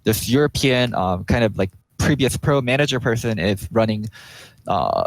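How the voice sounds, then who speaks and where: A man's voice: mid-range voice, very animated, normal speed, dry recording, rough recording. A man hosting a podcast in casual chat, speaking hesitantly with many "um"s and restarts.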